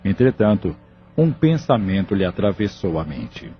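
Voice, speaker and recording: A man's voice: low-pitched, sing-song, moderate pace. A man reading scripted, dramatic narration for an audio novel. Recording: muffled audio drama recording with music.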